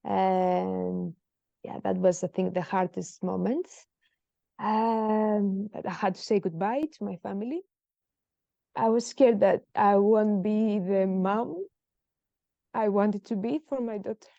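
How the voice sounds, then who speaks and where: A woman's voice: medium pitch, steady tone, takes it slowly, creaky, warm tone, no echo, dull audio. A woman in her thirties from Greece, speaking as a podcast guest in a hesitant, emotional way with many fillers. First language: Greek. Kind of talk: personal interview.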